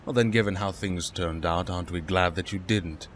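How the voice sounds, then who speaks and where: Older loyal butler, acted by a man: deep voice, formal courtesy, dry wit, faint noise behind. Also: normal speed, natural voice. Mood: gently probing. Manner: polite, formal, dryly wry. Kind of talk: comic book fan dub dialogue.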